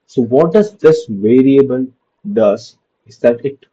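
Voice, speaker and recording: A man's voice: mid-range voice, expressive, slow pace, warm tone, breathy edge, muffled recording. A young man giving a casual screen-share coding lesson, padding his talk with "okay" and "so". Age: young adult. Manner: loose, repeats "okay" and "so" a lot. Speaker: programming tutor.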